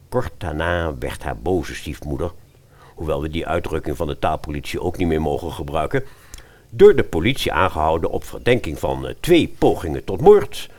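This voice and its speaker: A man's voice: low voice, darkish tone. A man narrating a radio fairy tale in a dramatic storytelling style.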